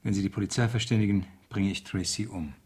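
An actor playing a young police officer stiffly reading a written note aloud in a radio crime drama. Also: male voice, lowish voice, fairly even intonation, fast talker, a little mellow, breathy edge. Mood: stiff, factual. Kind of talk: 1970s German radio crime drama acting.

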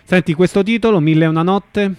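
A man's voice: high-pitched, quick pace, a little mellow, clear, resonant tone, no room sound. A man hosting a conversational live radio interview.

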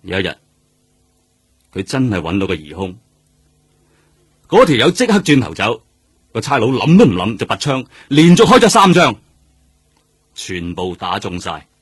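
An actor with a Hong Kong accent playing a seasoned, world-weary detective in a radio drama, speaking emphatically. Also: male voice, on the low side, sing-song, normal speed, long pauses, husky. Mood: grave, sombre. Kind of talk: Cantonese radio drama acting.

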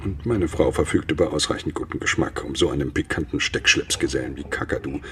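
An actor in a radio play playing a jealous engineer husband who mutters to himself with irony and scorn. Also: male voice, a bass voice, brisk. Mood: self-reassuring, scornful.

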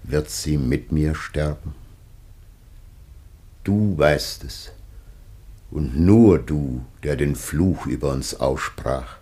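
A man's voice: rumbling low, darkish tone, slightly rough, full character voice. An actor playing an ancient dying father, solemn, anguished and tender, in a declamatory classic German radio play.

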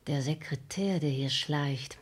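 Scheming countess for a 1960s radio drama: low, very husky and breathy, formal, driven, intense. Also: female voice, a little slow. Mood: driven, resolute. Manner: formal, clipped, intense stage diction. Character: scheming, hard, ambitious countess plotting against her dying father. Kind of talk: 1960s German radio play acting.

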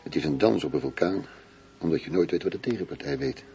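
An actor playing a worldly, self-assured German undercover police chief, teasing and intimate in a radio play. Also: male voice, sits low, very animated, quick pace, vocal fry, dark tone, slight background noise, full character voice. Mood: sober, weary.

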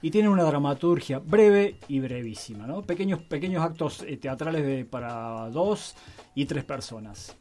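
A man with an Argentine accent giving a casual, halting, tongue-in-cheek radio column. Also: fairly high pitch, animated, dark tone.

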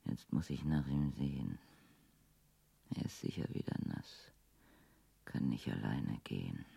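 A man's voice: very deep voice, expressive, unhurried, gravelly, dark tone, slightly rough, full character voice. A man playing a weary, grumbling carer in a radio play, muttering repetitive lists of chores. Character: weary, grumbling carer doing housework and crosswords.

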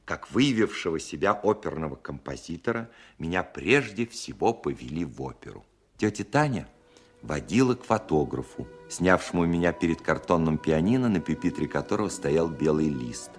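A man playing a composer who recalls his childhood with gentle humour as narrator of a radio play. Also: extremely low pitch, lively intonation, normal speed, husky, full character voice. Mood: wry, proud. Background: music.

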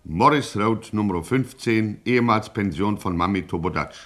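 A man's voice: lowish voice, normal speed, a bit bright. A man narrating a radio crime satire in a florid, mock-solemn, dramatic storytelling style.

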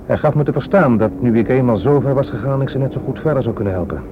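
A man's voice: medium pitch, animated, fast, natural voice. A man acting a weary, corrupt police inspector in a radio play, frankly explaining and justifying himself. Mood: rueful, self-justifying. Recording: muffled lo-fi radio recording, strong noise.

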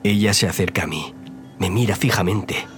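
A man's voice: low voice, moderate pace, fairly bright tone, faint music behind. A man playing an injured, frightened narrator telling his own horror story in audio fiction. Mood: dread. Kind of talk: scripted first-person horror narration.

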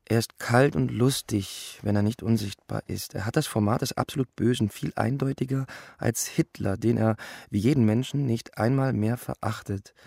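A man's voice: mid-range voice, normal speed, gravelly, a little mellow, no room sound, clean studio sound. A man reading literary stage directions as a narrator.

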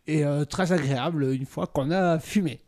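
A man co-hosting a small radio show, talking about a record in an informal, halting, amused way. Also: on the high side, a little mellow. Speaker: radio co-host. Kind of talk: casual radio chat.

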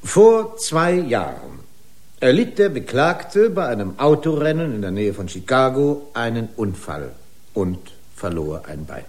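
An actor playing a pedantic, accusing corporate lawyer in a German radio drama, speaking in formal, pompous legal style. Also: male voice, medium pitch, huge pitch swings, unhurried, darkish tone. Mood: pedantic, indignant.

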